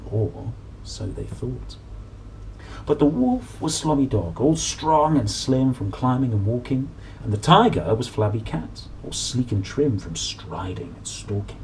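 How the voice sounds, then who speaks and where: A man in his thirties reading a children's picture book aloud, playful and dramatic with drawn-out emphasis. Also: medium pitch, lively intonation, a little mellow, slight background noise, rough recording. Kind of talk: animated children's story reading. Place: small room at home, at a desk.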